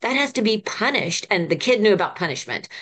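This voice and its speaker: A woman's voice: mid-range voice, big pitch swings, brisk, fairly bright tone. A woman in her fifties, a children's ministry professor, telling stories in an earnest, enthusiastic way as a podcast guest. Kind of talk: podcast conversation.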